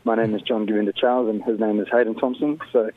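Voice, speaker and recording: A man from Sierra Leone calling in by phone to a radio show, speaking thoughtfully and pausing to find his words. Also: on the low side, fairly even intonation. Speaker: caller. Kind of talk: phone interview. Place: at a hockey game. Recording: tinny phone line.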